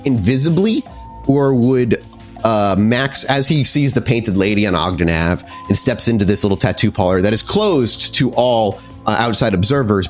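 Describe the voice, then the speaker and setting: Male game master, lowish animated voice, improvised horror scene-setting, light music bed. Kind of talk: improvised descriptive game narration.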